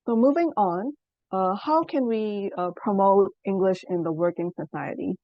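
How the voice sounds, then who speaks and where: A young woman from Burma, a native Burmese speaker, giving a student presentation in a measured, explanatory way with frequent pauses. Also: medium pitch, dry recording, highs cut off. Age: young adult. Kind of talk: class presentation.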